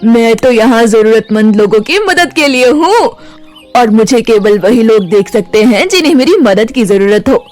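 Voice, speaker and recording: Casting: woman as benevolent talking tree for a storybook tale, reassuring, measured, set a little under the narration, faint music behind. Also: a little high, expressive, a little mellow, natural voice. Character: kindly male magic tree spirit, calm and reassuring.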